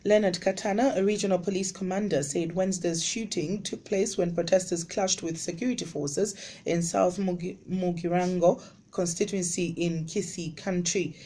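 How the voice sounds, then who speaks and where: Mellow-voiced woman reading news. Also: lowish voice, fairly even intonation. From Zambia. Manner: clear, measured broadcast delivery. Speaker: radio newsreader. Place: radio studio.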